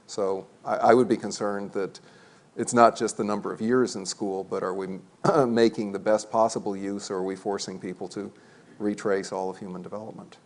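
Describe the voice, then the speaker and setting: A man's voice: on the low side, level tone, moderate pace. A man moderating a panel discussion before a live audience, talking in a conversational, self-deprecating way. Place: event hall before an audience.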